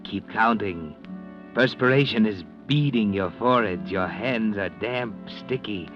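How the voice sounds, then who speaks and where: An actor playing a sinister, mocking, all-knowing narrator in a 1940s radio mystery. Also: male voice, on the low side, a little slow, lightly acted. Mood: sinister, taunting. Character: ominous all-knowing male narrator taunting the greedy. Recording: dull vintage radio recording with music.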